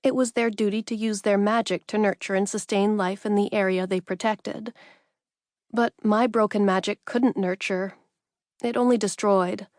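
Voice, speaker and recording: A woman's voice: mid-range voice, steady tone, moderate pace, darkish tone, no echo, studio quality. A woman reading a first-person fantasy audiobook as a scripted narrator.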